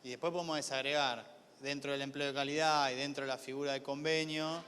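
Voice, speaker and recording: A man's voice: mid-range voice, steady tone, a bit bright, smooth. Argentine man lecturing in an echoey hall. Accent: Argentine, Buenos Aires area. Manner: halting, repeats words, poses rhetorical questions to the listeners. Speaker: lecturer, university official teaching a class. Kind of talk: informal class lecture. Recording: echoey hall with long reverb.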